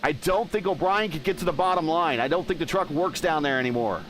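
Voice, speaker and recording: Male lead race caller, excitable play-by-play, reads chat aloud, faint background hiss. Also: fairly high pitch, a little fast. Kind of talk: live sim racing commentary.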